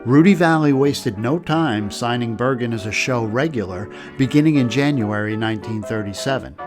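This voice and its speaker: A man giving scripted documentary narration in an even, informative way. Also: mid-range voice. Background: music.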